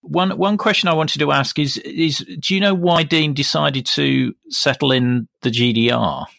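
A man's voice: fairly high pitch, a little slow, vocal fry, fairly bright tone, no echo, clean studio sound. A man hosting a podcast, asking tentative questions with hesitant restarts. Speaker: podcast host. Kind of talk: casual interview.

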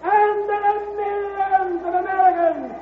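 High-voiced eager political delegate, echoey. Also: male voice, fairly even intonation, measured pace. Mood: oratorical. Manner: insistent, emphatic. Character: eager, folksy male party delegate from Tennessee. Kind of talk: old-time radio drama acting. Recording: tinny, echoey, noisy old radio broadcast.

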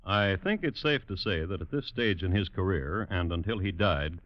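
A man's voice: low voice, expressive, moderate pace. A man narrating a vintage radio crime show in a dry, wry, formal storytelling manner. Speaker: host and narrator of crime drama. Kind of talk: 1950s radio scripted storytelling. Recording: dull old radio recording with music.

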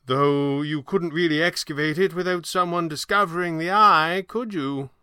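A man voicing a gentle, amused old stage magician and sorcerer with a courtly, theatrical manner in an audiobook story. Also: fairly high pitch, lively intonation, moderate pace, clear, resonant tone, no room sound, studio quality, pitched above the performer's own voice.

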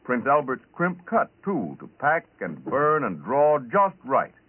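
A man with an American accent delivering a scripted 1940s radio advertising pitch, on a tinny old recording. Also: wildly swooping pitch, measured pace, slightly rough. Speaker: commercial announcer.

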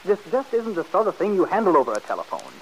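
An actor playing an impatient, cold-blooded schemer in an old-time radio soap, brusque, exasperated and condescending. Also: male voice, high voice, huge pitch swings, fast, highs cut off, exaggerated voice. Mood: irritated. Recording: hissy vintage radio broadcast recording.

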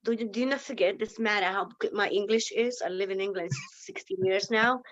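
A woman's voice: medium pitch, fairly quick, fairly bright tone, dull audio. Blunt Lithuanian-accented woman. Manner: blunt, swears freely, laughs often. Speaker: interview guest. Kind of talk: casual candid interview.

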